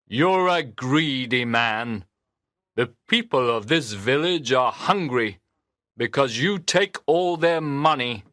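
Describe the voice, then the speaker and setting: A man's voice: medium pitch, expressive, slow pace, a bit bright, no room sound, studio quality. A man reading a defiant village father. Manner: defiant, firm. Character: brave kind village father, tall and thin. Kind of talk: storybook reading.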